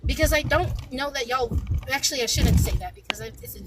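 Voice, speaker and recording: Soft-voiced woman lecturing firmly. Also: sits high, brisk, very noisy. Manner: firm, lecturing, emphatic. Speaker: panelist. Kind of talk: heated panel debate.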